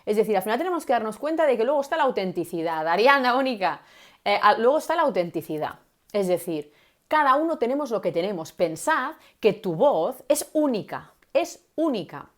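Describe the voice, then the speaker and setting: Lively woman coaching viewers. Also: fairly high pitch, a little fast. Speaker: communication coach. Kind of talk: live-stream teaching talk.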